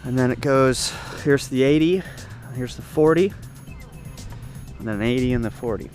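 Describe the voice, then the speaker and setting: A man's voice: mid-range voice, moderate pace, darkish tone, faint music behind. A man giving an informal, unscripted walkthrough of a camp setup outdoors, explaining things and thinking aloud as he goes. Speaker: ham radio hobbyist giving a video tour. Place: outdoor campsite.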